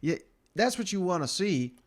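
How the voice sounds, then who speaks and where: Male host, slightly high-pitched, conversational sports commentary in colloquial language. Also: very animated, moderate pace. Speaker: podcast host.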